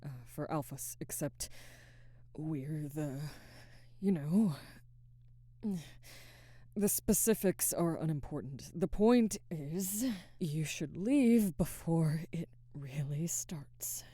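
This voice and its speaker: A woman playing a conflicted, protective alpha werewolf in an intimate ASMR roleplay, husky and halting, often slipping into a whisper. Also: low-pitched, lively intonation, dark tone, dry recording, studio quality, full character voice. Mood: awkward, reluctant. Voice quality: husky, breathy, frequently dropping to a whisper. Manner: halting, hesitant, sighing. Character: adult female alpha werewolf, conflicted, protective, barely restrained.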